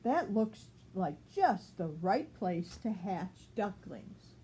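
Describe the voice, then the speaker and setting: An older woman voicing a fussy, excitable mother mallard in a storybook, with a fretful, emphatic manner. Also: mid-range voice, takes it slowly, slight background noise. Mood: content. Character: fussy, excitable mother mallard duck, choosy about homes. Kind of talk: storybook character reading.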